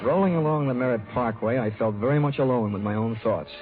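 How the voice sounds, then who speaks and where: An actor playing a wry, hardboiled, flirtatious insurance investigator in 1940s radio noir style. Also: male voice, mid-range voice, fast talker, natural voice. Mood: wry narration. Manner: wry, wisecracking. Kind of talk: 1940s radio acting, first-person noir narration. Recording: dull 1940s radio broadcast with music.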